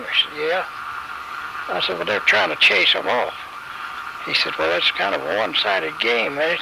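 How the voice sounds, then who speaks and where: An older man with a rural Kentucky accent, telling his memories in a plainspoken, halting, folksy way as an interviewee. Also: a little high, vocal fry, background noise. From Kentucky, USA. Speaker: eyewitness interviewee, war veteran. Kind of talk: unscripted personal reminiscence. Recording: radio interview over music bed. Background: background music.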